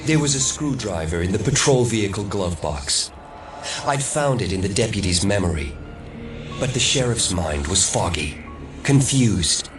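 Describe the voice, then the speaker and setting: An actor playing a haunted, defiant man recounting his past in deliberate, dramatic audio drama narration. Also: on the low side, expressive, over music, natural voice. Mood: grim recollection. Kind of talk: dramatic first-person storytelling in audio drama. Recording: distant mic, clear background noise.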